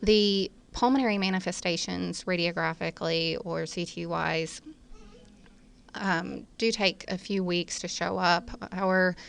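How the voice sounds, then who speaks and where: Clear woman lecturer with vocal fry. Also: on the low side, steady tone, measured pace. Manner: formal, technical explanatory phrasing. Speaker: physician lecturer. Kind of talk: medical lecture commentary.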